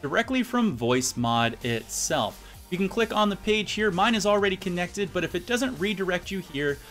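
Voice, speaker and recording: Lively high-pitched man presenting a tutorial. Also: moderate pace, soft background music. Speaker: tutorial host. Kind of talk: instructional software tutorial. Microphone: USB studio microphone.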